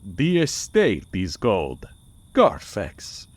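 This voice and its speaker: An actor playing a courtly, menacing vampire nobleman in a vintage radio horror drama, deliberate and formal. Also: fairly low pitch, huge pitch swings, dry recording, heavily acted. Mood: courtly, commanding. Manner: slow, deliberate, formal and ominous.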